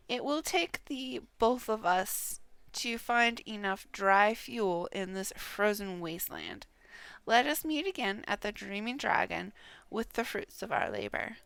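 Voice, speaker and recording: A woman's voice: mid-range voice, creaky, fairly bright tone, clear, resonant tone, no room sound. A woman voicing an earnest fantasy game character, reading the orders out in stately, archaic phrasing.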